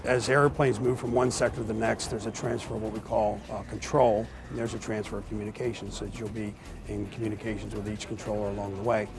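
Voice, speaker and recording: A man's voice: on the low side, flat delivery, fast talker, noisy background. A man giving explanatory interview answers as an expert, in technical and formal wording. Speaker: air traffic expert being interviewed.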